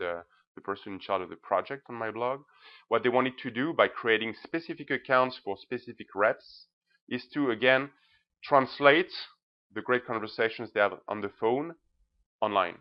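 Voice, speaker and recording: A man giving an informal, emphatic presentation as a blogger, often checking in with short tag words. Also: on the low side. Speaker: presenter, blogger reviewing banks' social media. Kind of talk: informal screencast-style presentation. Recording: slightly dull, lo-fi sound.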